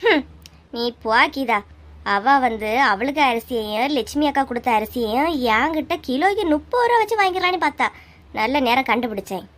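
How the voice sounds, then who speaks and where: Woman playing a high-voiced grumbling village auntie. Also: lively intonation, normal speed, a bit bright. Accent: Kanyakumari Tamil. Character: grumbling, easily offended middle-aged village woman in a cartoon. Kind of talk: cartoon comedy dialogue.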